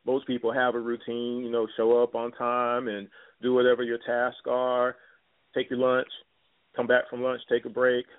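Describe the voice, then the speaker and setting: Radio host man on a tinny line. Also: medium pitch. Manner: loose, filler-heavy, conversational. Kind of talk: casual talk radio hosting.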